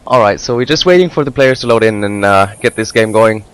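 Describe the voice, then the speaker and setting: A man's voice: fairly low pitch, fairly bright tone, clear, resonant tone. Hesitant young man co-casting a game. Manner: hesitant, halting, many fillers. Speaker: esports co-caster. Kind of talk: casual game commentary.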